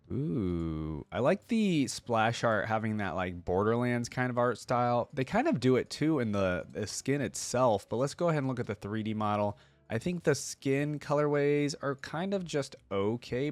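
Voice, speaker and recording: A man's voice: mid-range voice, no echo. A man hosting a reaction channel, chatting informally and thinking aloud as he weighs his opinions for viewers. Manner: informal, hesitant deliberating, addresses viewers directly. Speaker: host of a game reaction channel. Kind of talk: casual running commentary, thinking aloud.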